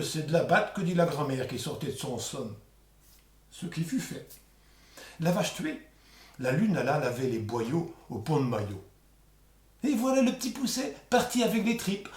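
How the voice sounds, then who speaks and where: A man telling a folk tale aloud in a theatrical, colloquial oral storytelling style. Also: on the high side. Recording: echoey room.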